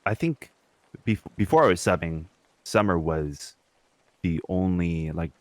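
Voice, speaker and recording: A man's voice: sits low, unhurried, dry recording. A young man co-hosting a podcast, chatting informally and swearing casually. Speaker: podcast co-host; substitute teacher.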